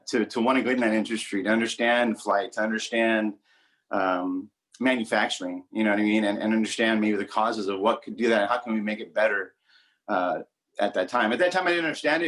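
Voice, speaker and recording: A man's voice: medium pitch, a bit bright. A man in his forties from Southern California, talking casually as an interview guest and often repeating words as he searches for what to say. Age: 40s. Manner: rambling, repeats words while searching for phrasing.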